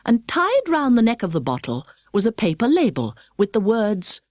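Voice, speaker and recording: A middle-aged British woman narrating a children's storybook with precise, expressive delivery. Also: on the high side, moderate pace, dry recording, studio quality. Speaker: audiobook narrator. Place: dry studio.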